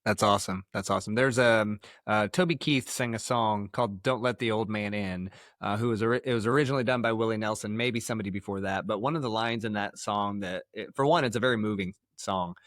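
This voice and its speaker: A man's voice: on the low side, fast, hardly any pauses, a bit bright, no room sound, studio quality. An American man hosting a conversational podcast interview, speaking in an emphatic, anecdotal way. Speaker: podcast host, health coach.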